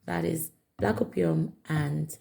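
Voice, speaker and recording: A woman reviewing perfumes in a chatty vlog, her voice raspy and hoarse from a cold. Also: low-pitched, steady tone, takes it slowly, heavy background noise. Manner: chatty, repeats herself, many fillers. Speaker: perfume vlogger. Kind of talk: casual product review to camera.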